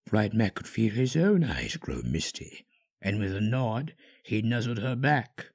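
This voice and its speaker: Very deep, gravelly male story narrator. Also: sing-song, measured pace, a little mellow. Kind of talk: scripted dramatic story narration.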